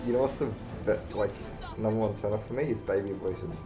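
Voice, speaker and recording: A man's voice: lowish voice, brisk. A young man chatting playfully with friends on voice chat while streaming a game. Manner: playful, pushy questions. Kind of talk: casual gaming banter. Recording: game music over voice chat.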